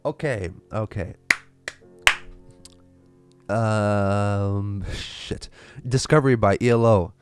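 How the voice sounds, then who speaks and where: Low-voiced Lithuanian man, halting livestream chat. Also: clean tone, soft background music. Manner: halting, many fillers and repeated restarts. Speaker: livestream host. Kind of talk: casual livestream chat reading viewer questions.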